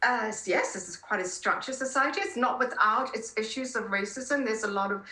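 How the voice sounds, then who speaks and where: A woman's voice: fairly high pitch, fairly quick, sharp tone. A woman in her fifties with a South African accent, speaking emphatically and effusively as an interview guest. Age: 50s. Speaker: podcast guest, political columnist. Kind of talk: conversational interview answers. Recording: roomy, echoing sound.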